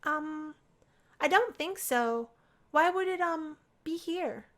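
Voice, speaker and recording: A woman's voice: high-pitched, animated, slow, a bit bright, voice pushed up, full character voice. A woman playing an earnest young female college student in a visual novel, speaking hesitantly and sincerely. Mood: startled, unsure.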